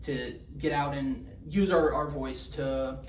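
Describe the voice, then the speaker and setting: A man's voice: medium pitch, steady tone, slow. Easygoing young man, roomy far-off sound. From Houston, Texas. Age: young adult. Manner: easygoing, many fillers, chuckles when talking about his school. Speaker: campaign manager. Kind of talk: casual interview answers. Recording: roomy sound, away from the mic, faint hum.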